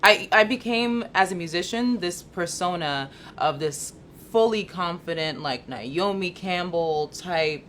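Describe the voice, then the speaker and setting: A woman's voice: lowish voice, a little slow, a little hiss or hum. A young woman, a model and musician, chatting as a guest in a casual interview and laughing easily. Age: young adult. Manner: relaxed, laughs easily, animated storytelling.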